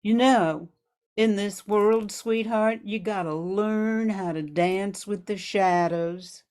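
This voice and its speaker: Woman playing a slow, sultry 1940s gun moll. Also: medium pitch, darkish tone, clear, resonant tone, close to an everyday voice. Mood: seductive, world-weary. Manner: sultry, hard-boiled underworld slang, calling listener sweetheart. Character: sultry, tough 1940s gun moll, dangerous and worldly-wise. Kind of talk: 1940s radio noir monologue.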